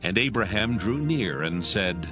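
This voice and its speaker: A man narrating scripture from a script in a measured, solemn manner. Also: on the low side, expressive. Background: soft music.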